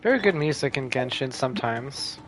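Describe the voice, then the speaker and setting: A man's voice: mid-range voice, expressive, fairly quick. A man giving casual gameplay commentary, muttering to himself in a laid-back way. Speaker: gamer playing and commenting. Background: game music.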